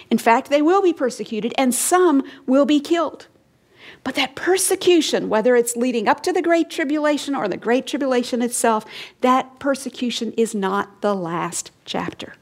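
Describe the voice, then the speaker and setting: A woman preaching an expository sermon, emphatic and rising and falling in tone, sometimes dropping to a hush and asking her listeners questions. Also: a little high. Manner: emphatic, rising and falling, drops to hushed lines; explains and asks the listeners questions.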